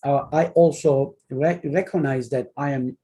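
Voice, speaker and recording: A man in his forties with a Turkish accent, a journal editor giving an online webinar lecture on a video call, explaining earnestly with halting restarts and fillers. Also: medium pitch, fairly even intonation, warm tone. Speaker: journal editor and academic speaker. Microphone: computer mic on a video call.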